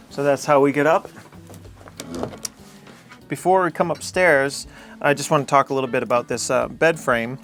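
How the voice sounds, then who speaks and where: A man with an average-pitched voice explains his home as its owner. His delivery is a casual, conversational house tour, with soft music underneath. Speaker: homeowner giving a house tour.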